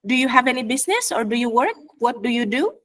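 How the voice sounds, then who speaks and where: A lively woman with an Indonesian accent runs an online English lesson over a video call. Her voice sits a bit high, and she coaches her students through role-play, switching freely between Indonesian and English. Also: fast. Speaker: English teacher.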